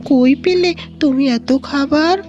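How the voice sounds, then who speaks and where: A woman voicing an easily amazed, hungry fox father in a cartoon, exclamatory and drawn-out. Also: sits high, a little mellow, dull audio. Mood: amazed, delighted. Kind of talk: cartoon voice acting. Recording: music behind the voice.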